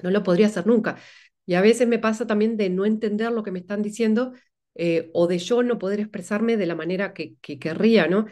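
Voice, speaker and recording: A woman's voice: medium pitch, a little fast, warm tone. A woman, an invited speaker from Latin America, answering audience questions and thinking aloud with many restarts. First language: Spanish.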